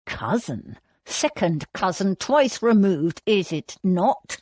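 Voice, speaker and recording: A woman's voice: mid-range voice, big pitch swings, takes it slowly, darkish tone, breathy edge, dry recording, exaggerated voice. A woman voicing an angry, commanding male lord with a clipped, menacing, snarling delivery in an audiobook. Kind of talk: audiobook character dialogue.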